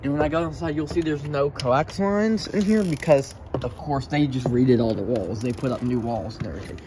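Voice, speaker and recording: A man's voice: medium pitch, a little fast. A young man giving an offhand, rambling house tour in casual vlog style, talking into a handheld phone. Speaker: vlogger. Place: walking through a house. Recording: noisy handheld phone recording with clicks.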